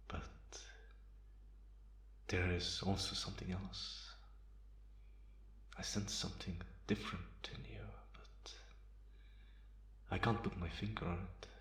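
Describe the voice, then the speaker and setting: A calm, menacing vampire, played by a man for an ASMR roleplay, speaks very low with a gravelly creak. His delivery is deliberate and taunting, kept in a narrow, even range. The sound is roomy and echoing. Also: full character voice. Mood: quietly menacing. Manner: deliberate, softly chuckling, taunting. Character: calm, amused, menacing male vampire, once human. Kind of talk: ASMR roleplay, slow intimate monologue.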